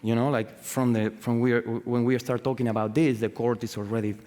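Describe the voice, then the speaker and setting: A man with a Spanish accent gives an informal talk as an artist, halting and searching for words in English and swearing freely. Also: medium pitch, level tone, a little mellow. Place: on stage in a large hall. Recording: echoey hall with long reverb.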